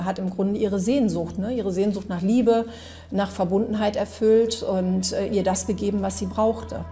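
Mellow-voiced woman explaining as an expert. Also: on the low side, gravelly. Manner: conversational, seeks agreement with tag questions. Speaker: psychological expert commentator. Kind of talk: unscripted explanatory interview.

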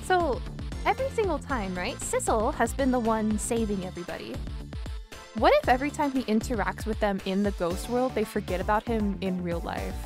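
A woman's voice: on the high side, lively intonation, fairly quick. A woman live streamer chatting casually over a game, rambling and hesitant, swearing now and then. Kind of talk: casual chat, game playthrough commentary. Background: game music.

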